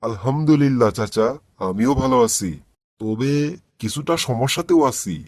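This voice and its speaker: A man voicing a pious, worried middle-aged father who gently pleads and admonishes in a cartoon. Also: mid-range voice, lively intonation, moderate pace, vocal fry, no room sound, clean studio sound. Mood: troubled. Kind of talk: cartoon dialogue acting.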